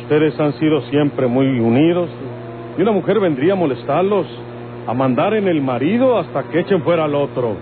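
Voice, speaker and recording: A man's voice: fairly high pitch, lively intonation, natural voice. A man playing a persistent, ingratiating land buyer in a golden-age radionovela, deferential but insistent, with a Mexican accent. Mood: persuasive. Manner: insistent yet deferential, hesitant pauses. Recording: tinny, noisy old radio recording.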